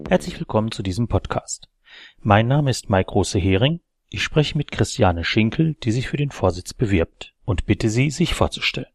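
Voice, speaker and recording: A man's voice: lowish voice, animated, normal speed. A man speaking as a podcast interviewer, giving prepared introductions and questions.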